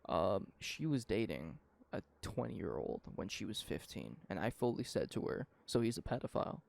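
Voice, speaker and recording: Man, 19, gravelly, animated pitch, casual rambling chat. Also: moderate pace. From Canada. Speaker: podcast co-host.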